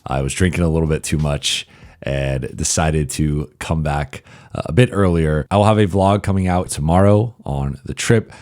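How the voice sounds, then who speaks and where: Hoarse man, very low pitch, dry close sound; sports reporter working through a stats-heavy mailbag. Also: a little mellow, no room sound. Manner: analytical, reels off statistics with frequent "uh" pauses. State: hoarse, partly lost voice. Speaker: basketball reporter and show host. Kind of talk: solo mailbag podcast commentary.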